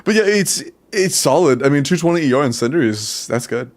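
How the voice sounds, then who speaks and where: A young man with a stuffy nose, casually giving game account advice on a live stream, relaxed and full of fillers. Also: fairly high pitch, brisk. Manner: relaxed, chatty, many fillers and laughs.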